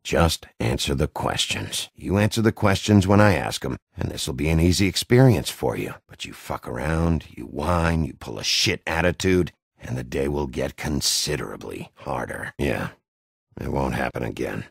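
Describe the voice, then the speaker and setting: Gravelly, menacing wolf detective. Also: male voice, very deep voice, expressive, normal speed, no room sound, studio quality, exaggerated voice. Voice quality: gravelly, rough voice. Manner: blunt, threatening interrogator, curses freely. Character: gruff, menacing big bad wolf detective, hot-tempered man. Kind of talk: video game voice acting.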